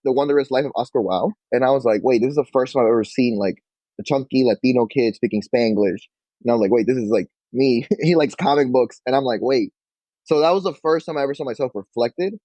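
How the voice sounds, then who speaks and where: A young man, chatting casually as an interview guest, relaxed and full of "like". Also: medium pitch, fast, no room sound. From Queens, New York. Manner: relaxed, peppered with "like", acts out quotes. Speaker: bookshop owner, guest. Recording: dull lo-fi dry sound.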